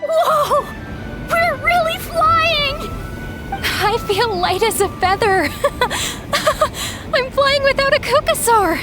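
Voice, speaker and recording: An actress playing a youthful, excitable, wide-eyed flying trainee in a video game. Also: female voice, very high voice, extremely slow, loud music behind the voice, heavily acted. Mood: thrilled.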